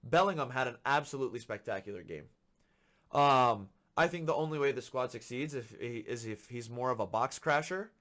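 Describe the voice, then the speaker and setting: A man hosting a football podcast, ranting with frustration and repeating his points for emphasis, a little hoarse from being sick. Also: mid-range voice, fairly quick, fairly bright tone. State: slightly hoarse from illness. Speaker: podcast host. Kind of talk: solo football commentary rant.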